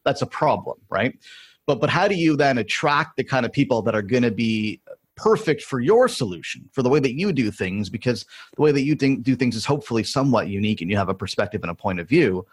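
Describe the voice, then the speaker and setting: A man's voice: medium pitch, animated, fast, husky. A man hosting an interview, thoughtfully restating his guest's points in long, winding questions. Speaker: podcast host.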